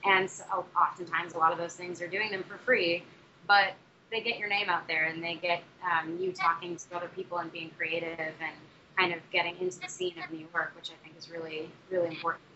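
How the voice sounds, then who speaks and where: A woman's voice: fairly low pitch, steady tone, fairly quick, sharp tone. A young adult woman, a musical theatre actress, answering interview questions in a chatty way, with many fillers and restarts. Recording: dull muffled recording with faint noise.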